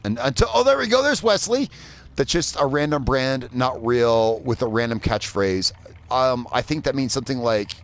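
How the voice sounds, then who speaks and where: A man from Indiana, streaming a game and chatting loosely, rambling and swearing as he plays. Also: mid-range voice. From Indiana, USA. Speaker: gaming streamer. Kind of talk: casual live gameplay commentary.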